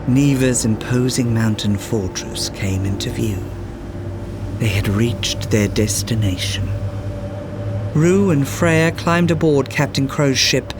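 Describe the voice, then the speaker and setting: Slow, expressive children's storyteller. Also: medium pitch. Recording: music under the voice. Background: music.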